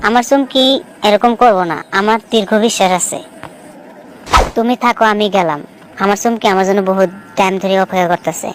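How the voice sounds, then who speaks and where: A woman's voice: mid-range voice, fairly quick, music in the background, natural voice. A woman voicing a naive, lovesick young male shopkeeper in a cartoon, earnest and pleading, with an eastern Bengali dialect. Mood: trusting. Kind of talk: cartoon voice acting.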